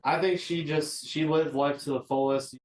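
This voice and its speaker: A man's voice: fairly high pitch, fairly even intonation, moderate pace, a little hiss or hum. A man speaking casually as a news interviewee, remembering someone. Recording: echoey room sound.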